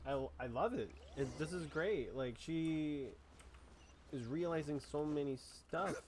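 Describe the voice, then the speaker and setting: A man's voice: a little high, measured pace, a little mellow, slight background noise. A man giving casual reaction commentary as a game streamer.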